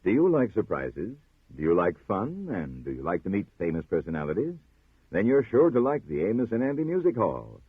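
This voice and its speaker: A man's voice: deep voice, sing-song, brisk, breathy edge. A man announcing and promoting programs in a polished 1950s radio style. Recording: narrow-band 1950s radio recording.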